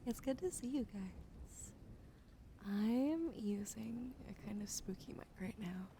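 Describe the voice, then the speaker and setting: A woman streamer chatting with viewers during an ASMR livestream, often whispering softly, close to a sensitive studio mic. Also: fairly high pitch, animated, slight background noise. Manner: often whispering, soft and unhurried. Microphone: sensitive studio mic on a boom arm.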